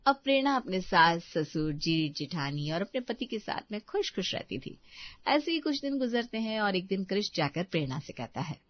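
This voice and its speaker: A woman's voice: mid-range voice, big pitch swings, normal speed, no echo, highs cut off. A woman storyteller reading scripted narration of a moral story.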